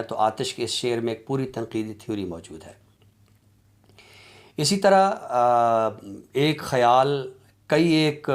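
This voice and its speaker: A man with an Urdu accent giving a formal academic lecture on literature, speaking in a measured, deliberate way with pauses and drawn-out fillers. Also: medium pitch.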